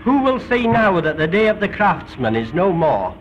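A man's voice: high voice, sing-song, normal speed, lo-fi sound, faint music behind. A British man giving formal, precise scripted narration in the theatrical manner of a 1930s educational film, on an old film soundtrack. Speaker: documentary narrator.

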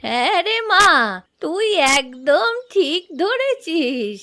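Woman voicing a very high-pitched village auntie. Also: very animated, takes it slowly, fairly bright tone, exaggerated voice. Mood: knowing, affectionate. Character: experienced older village aunt giving farming advice. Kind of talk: educational cartoon dialogue.

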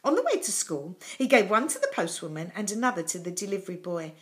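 An older woman reading a children's story expressively and dramatically, with deliberate pauses, in a small room at home. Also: mid-range voice, sing-song.

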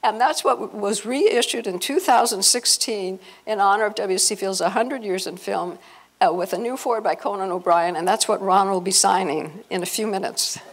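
Older woman with a creaky voice, presenting. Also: medium pitch. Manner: halting, repeats and restarts phrases. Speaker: presenter, speaker at a convention. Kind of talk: informal slide-show talk of family history. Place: hotel conference room, before a seated audience.

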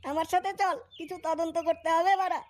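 A woman's voice: very high voice, steady tone, a little fast, a little mellow. A woman voicing a brash, boastful, foul-mouthed male amateur detective in a comic cartoon. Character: cocky, foul-mouthed adult male self-styled detective.

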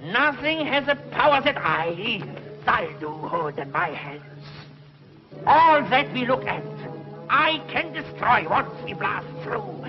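High, swooping power-mad underworld despot. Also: male voice, sing-song, slow pace, heavily acted. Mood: imperious. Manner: grandiose, commanding, melodramatic. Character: power-mad subterranean despot craving to conquer the surface. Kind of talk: 1950s television serial villain acting. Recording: muffled 1950s TV soundtrack, loud music.

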